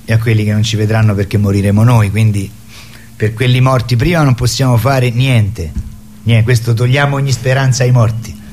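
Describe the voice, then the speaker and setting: A man's voice: mid-range voice, fairly even intonation, a little fast, a little mellow. A male theatre performer with a mid-range voice, recorded with slight background noise. He talks casually and provocatively, rambling along in a wry way. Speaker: theatre performer, radio guest. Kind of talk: casual chat.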